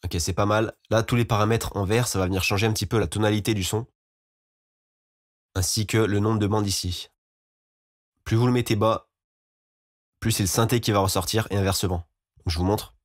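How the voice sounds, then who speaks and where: A man giving an informal, explanatory software tutorial as a voice-over. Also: lowish voice, fairly even intonation, a little fast, pauses often. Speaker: music production tutorial host.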